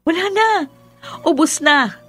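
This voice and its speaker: A woman's voice: high-pitched, expressive, takes it slowly, over music. An actress playing a drained, indignant girlfriend with a Filipino accent in a radio melodrama. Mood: exasperated.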